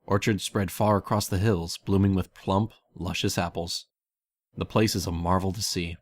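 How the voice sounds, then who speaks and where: Low-pitched man narrating a story. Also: fairly even intonation, normal speed. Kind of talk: scripted fan fiction narration. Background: music.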